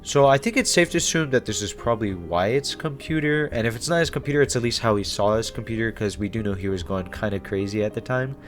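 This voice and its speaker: Casting: male YouTube host, lower register, chatty and irreverent horror recaps over background music. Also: fast, few pauses. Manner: informal, irreverent, conversational. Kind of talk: casual horror series explainer.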